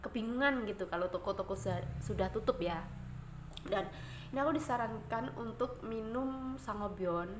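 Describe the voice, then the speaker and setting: A woman talks casually to her viewers from home, her voice stuffy and congested from a cold. She rambles along with lots of filler sounds. The room is echoey, with faint ambient noise underneath. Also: medium pitch. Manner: rambling, chatty, many fillers. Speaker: vlogger.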